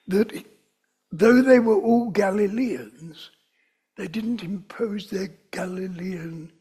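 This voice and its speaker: An older man with a London accent, preaching a sermon in a conversational, digressive way that rises to emphatic stresses. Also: high-pitched. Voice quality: very breathy, often whispery.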